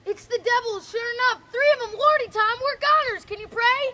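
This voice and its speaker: A woman's voice: extremely high pitch, a little fast, bright, forceful tone, voice pushed up. A woman reading aloud, voicing a scared, superstitious ragged boy in rustic dialect, with background noise.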